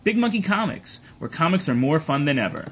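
A man's voice: a little high, sing-song, a little fast, background noise. A man hosting a podcast, speaking in a presenter's style to listeners. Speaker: podcast host. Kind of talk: scripted promo reading and casual panel banter.

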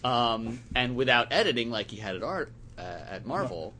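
A man on a podcast panel discussing comics casually, animated and given to joking. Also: medium pitch, moderate pace, a little hiss or hum.

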